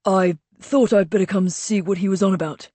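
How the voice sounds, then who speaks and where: A woman's voice: medium pitch, fairly even intonation, brisk, mellow, no room sound, close to an everyday voice. A woman voicing a courteous male director. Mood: polite. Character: polite middle-aged male institute director.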